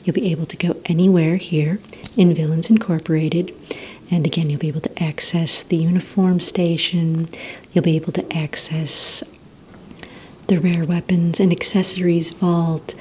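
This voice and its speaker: Casting: woman, low-pitched, breathy half-whisper, courteous receptionist in an ASMR roleplay, some low rumbling noise on the recording. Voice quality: soft, breathy, mostly whispered. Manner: gentle, polite, unhurried customer-service patter. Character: courteous, organized female villain-agency receptionist. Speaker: ASMR roleplay performer as a villain recruitment receptionist. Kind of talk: soft-spoken ASMR roleplay.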